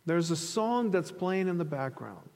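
A male pastor from Ukraine with a rather high voice delivers a sermon in a conversational way, explaining through stories and illustrations. His words carry the long reverb of a hall. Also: very animated, unhurried, darkish tone. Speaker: pastor preaching to a congregation. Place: large reverberant room, preaching to an audience.

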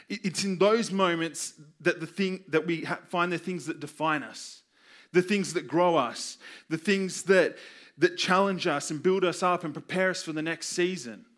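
A man preaching a sermon with personal stories, earnest and emphatic, using repetition and deliberate pauses. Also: high-pitched, fairly bright tone. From Australia. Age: 20s. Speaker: youth pastor preaching.

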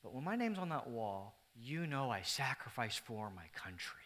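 A man preaching a sermon to his congregation, moving between loud, emphatic stretches and hushed, earnest ones, with joking asides to the room. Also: mid-range voice, very animated, normal speed. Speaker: pastor.

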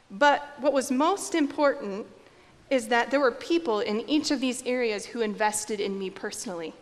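A young woman pastor from Iowa delivers a sermon in an earnest, measured storytelling manner. Her voice sits a little high. The church around her adds a long, lingering reverb. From Iowa, United States. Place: church sanctuary.

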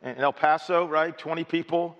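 Slightly hoarse pastor, bright tense voice. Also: male voice, fairly high pitch, fairly even intonation, a little fast. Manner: chatty, self-joking, stammering restarts. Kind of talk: sermon with scripture reading. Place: church sanctuary. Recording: dull sound with long hall reverb.

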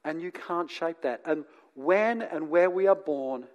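A man from England who grew up in New Zealand and lives in Australia, preaching in a conversational, teacherly way with asides to his listeners and deliberate pauses. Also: a little high, dull audio. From born in England, grew up in New Zealand, lives in Australia. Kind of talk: conversational sermon to an audience.

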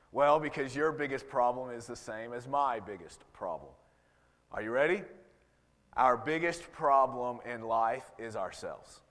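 A man in his thirties with a rough voice, preaching a sermon and reading scripture, talking conversationally with frequent ums and restarts. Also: mid-range voice, in a large hall. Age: 30s.

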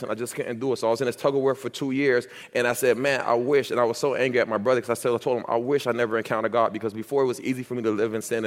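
Ordination candidate, man in his thirties, low voice, slight stutter, emphatic and repetitive delivery, long church echo. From Miami, United States. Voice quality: speech impediment, stutters when nervous. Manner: earnest, repetitive, emphatic storytelling. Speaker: youth pastor being ordained. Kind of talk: personal testimony and doctrinal answers in church. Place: church sanctuary. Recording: reverberant church hall.

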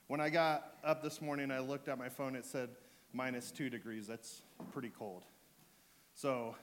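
A man with a sore throat and a fading voice, preaching to his church in a relaxed way, full of ums and restarts. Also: medium pitch, slight background noise. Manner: joking, relaxed, with fillers and restarts. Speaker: pastor. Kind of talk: sermon.

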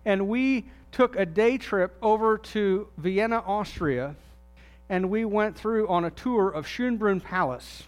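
A man with a notably high voice delivers a sermon in an easy, conversational manner. He often sinks low and quiet to land a key phrase. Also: slow, smooth, faint music behind. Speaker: pastor.

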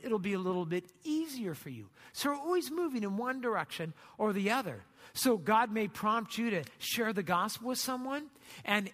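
A man's voice: high-pitched, fairly bright tone. A man preaching a sermon in an informal, conversational teaching manner, explaining step by step and checking in with listeners. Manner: informal, explanatory, often checks in with "right?" and "you know". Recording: reverberant hall sound.

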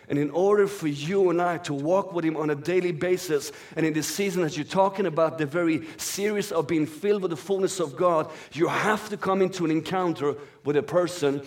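A man born in Iran and raised in Sweden, whose first language is Farsi, preaching a sermon as a guest speaker and reading scripture in an earnest, flowing way. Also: fairly high pitch.

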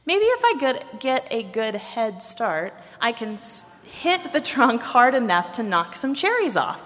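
A woman's voice: a little high, very animated, measured pace, fairly bright tone. A woman voicing an eager, bossy male head from a folk tale, with exclamatory storybook delivery. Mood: musing, pleased. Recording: long hall reverb.

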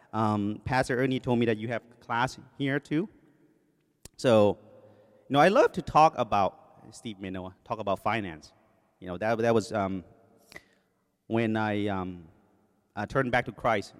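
A man in his forties with a Thai accent, a guest preacher talking from the podium in a joking, self-deprecating way with many fillers. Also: lowish voice. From Thailand. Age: 40s. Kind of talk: sermon introduction. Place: church sanctuary. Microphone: podium microphone. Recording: long reverb of a church hall.

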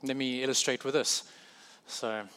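A man with a South African accent preaches in a bright, forward voice. He keeps it conversational, catching and fixing his own wording as he goes and slipping in easygoing asides. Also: medium pitch, fairly even intonation, measured pace, clean tone. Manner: conversational, self-correcting, with light humorous asides. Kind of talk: sermon.

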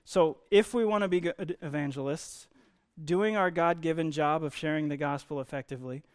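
A man's voice: a little high, moderate pace, smooth. A man giving a conversational sermon, explaining Bible teaching in an informal way with emphasis on key words.

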